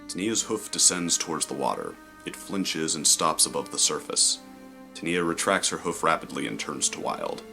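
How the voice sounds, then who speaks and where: A man reading scripted story narration in a measured, descriptive way. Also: rumbling low, animated, gravelly, music in the background.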